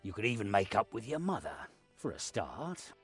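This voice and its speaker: A man's voice: fairly low pitch, very animated, normal speed, faint music behind. A man voicing an earnest, commanding hero in a fantasy video game, solemn and dramatic. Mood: gentle, persuasive. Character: earnest, commanding young-hearted hero leading against orcs.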